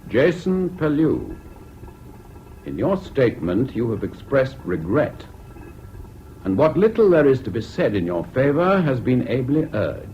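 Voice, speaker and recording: An actor with a British accent playing a stern, disapproving judge in a 1970s radio drama, formal, grave and emphatic. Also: male voice, mid-range voice, big pitch swings, a little slow, dark tone, faint music behind. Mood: stern, condemning. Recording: dull, noisy old radio recording.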